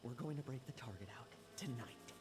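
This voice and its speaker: An actor playing a cold, ruthless schemer who gives clipped, commanding orders. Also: male voice, medium pitch, animated, a little fast, natural voice. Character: cold, ruthless male schemer chasing power. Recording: game audio with background noise.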